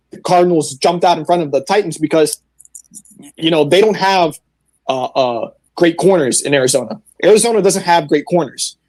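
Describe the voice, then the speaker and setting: A man's voice: on the high side, a little mellow, dry recording. A man giving blunt, opinionated sports analysis casually as a fan panelist.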